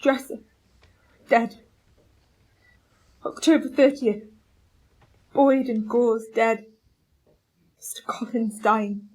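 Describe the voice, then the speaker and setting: A woman playing a starving, resolute male expedition captain in a radio play, reading out a journal in a clipped, solemn, halting way. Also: a little high, steady tone, a little mellow, husky, poor audio. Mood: grim, weary.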